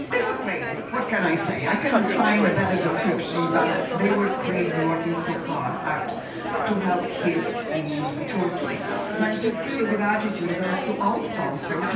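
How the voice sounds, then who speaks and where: Polish-accented woman in a very echoey hall. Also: mid-range voice. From Poland. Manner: self-deprecating, joking. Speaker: event sponsor giving a speech. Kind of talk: informal benefit speech to an audience. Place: large event room with guests at tables. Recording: echoey hall, distant mic, loud crowd.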